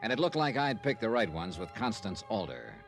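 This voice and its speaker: An actor playing a wry, hard-boiled insurance investigator in a 1950s radio drama, with punchy, clipped delivery. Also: male voice, lowish voice, very animated, a little fast. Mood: wry narrating. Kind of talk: 1950s radio detective acting and narration. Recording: old radio recording with music.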